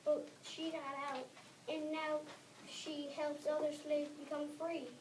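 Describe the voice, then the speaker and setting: A young girl reading the part of an enslaved farmhand who longs for freedom, in a halting school readers theater delivery. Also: high voice, level tone. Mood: hopeful. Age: child, about 8 to 9. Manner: halting read-aloud with repeated words. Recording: echoey noisy room from far off.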